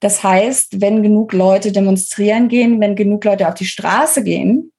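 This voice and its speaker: A woman's voice: mid-range voice, normal speed, mellow, slightly rough. A woman speaking as an interview guest, giving articulate, explanatory political analysis in a conversational way.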